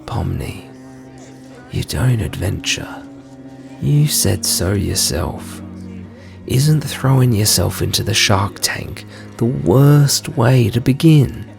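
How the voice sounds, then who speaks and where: A man's voice: mid-range voice, animated, slow pace, creaky, over music, exaggerated voice. A man voicing a skeptical, protective crocodile in an audio drama, with a wry, colloquial way of talking. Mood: worried, gentle. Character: worried, skeptical male crocodile, protective best friend. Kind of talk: fan audio drama acting.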